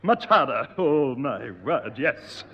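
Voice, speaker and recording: A man's voice: medium pitch, very animated, slow pace. An actor playing a prim, exasperated piano teacher who scolds his pupil. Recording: dull echoey old radio recording.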